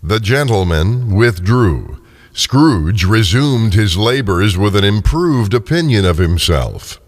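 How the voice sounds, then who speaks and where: A man's voice: lowish voice, big pitch swings, takes it slowly. A man narrating a classic story in a dramatic, scripted radio theater style. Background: music.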